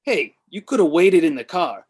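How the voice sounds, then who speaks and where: A man's voice: a little high, very animated, normal speed, a little mellow, slightly rough. A man reading the part of a grumpy, skeptical older brother, contrarian and bossy, in a comedy table read. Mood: bossy, sulky.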